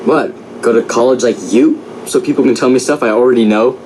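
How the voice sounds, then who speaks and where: An actor voicing a cocky, restless teenage boy inventor in animated film dialogue. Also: medium pitch, brisk, slight background noise, natural voice, rough recording. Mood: scornful. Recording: dialogue over loud orchestral music.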